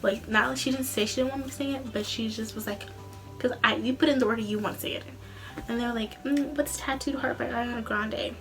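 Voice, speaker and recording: High-voiced young woman chatting casually, music behind. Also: fast. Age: young adult. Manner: animated, rambling, acts out quoted dialogue. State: tired, tense voice. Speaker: student singer and vlogger. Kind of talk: casual chatty vlog storytelling.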